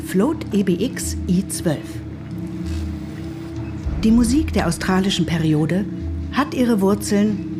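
An actress in a German radio play playing a detached, irritable mother and lecturer, speaking in a measured, formal, didactic way. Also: medium pitch, natural voice. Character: Vashti, detached, irritable mother and lecturer in machine-run future.

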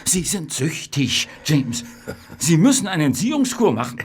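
An actor in a German radio play as a worried, conscientious Victorian pharmacist, pleading and morally stern. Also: male voice, a little high, sing-song, measured pace, slight background noise. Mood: pleading, admonishing.

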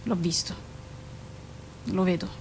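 A woman playing a composed, guarded female doctor in an Italian radio drama, probing in terse, measured phrases. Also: lowish voice, a little mellow, a little hiss or hum, low-quality recording. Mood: guarded, firm.

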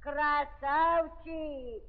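A very slow, drawling old peasant woman in an old radio play, with a rural Russian accent. She fawns and wheedles in folksy sing-song exclamations, in a rather high voice. The recording is muffled, with long reverb. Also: steady tone. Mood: fawning. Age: older. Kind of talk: 1930s radio play acting.

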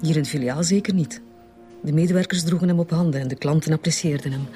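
A woman's voice: sits low, fairly even intonation, fast, over music, close to an everyday voice. An actress playing an earnest female bank deputy in a radio drama, speaking formal, slightly hesitant Flemish Dutch. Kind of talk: naturalistic radio drama dialogue.

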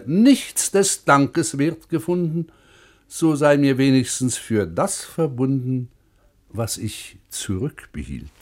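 A man reciting verse, fables and old texts in a declamatory, measured 1950s German radio style. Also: medium pitch, a little mellow. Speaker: reciter in a literary radio programme.

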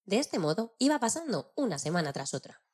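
A woman narrating a children's story in an expressive storytelling style. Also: medium pitch, a little fast, a little hiss or hum.

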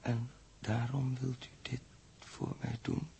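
A man's voice: mid-range voice, completely flat delivery, slow, gravelly, warm tone, slightly rough, heavily acted. An actor in a 1960s science fiction radio play playing an earnest, weakened spaceship captain who searches for his words. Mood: weak, relieved. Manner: hesitant, searching phrases.

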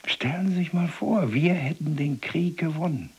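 An actor playing a gruff, chatty German ex-policeman in prison, plain-spoken and indignant, rambling colloquially in a Berlin accent in a radio drama. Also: a little high, moderate pace, a little mellow, highs cut off.

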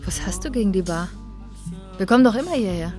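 A woman's voice: medium pitch, lively intonation, fast talker, dark tone, soft background music, close to an everyday voice. A woman playing a restless, persuasive wife in a radio drama. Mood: casual. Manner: persuasive, insistent. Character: coaxing, restless wife out drinking at Christmas.

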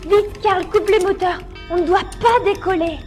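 A woman's voice: very high-pitched, moderate pace, a little mellow, soft background music. A woman playing an anxious, impulsive young girl in a science-fiction audio drama, speaking urgently. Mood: urgent, alarmed.

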